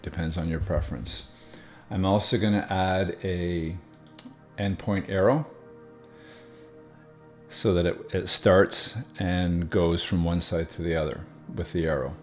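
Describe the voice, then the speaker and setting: A man calmly explaining software steps one by one in a screen-recorded tutorial, with the odd filler. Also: low voice. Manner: relaxed step-by-step explaining with fillers. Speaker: video tutorial host.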